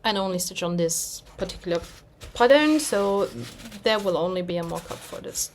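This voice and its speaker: A woman's voice: lowish voice, lively intonation, normal speed, slight background noise. German woman chatting casually about stitching. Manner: rambling, self-deprecating, searches for words. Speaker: cross-stitch vlogger. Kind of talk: casual chat.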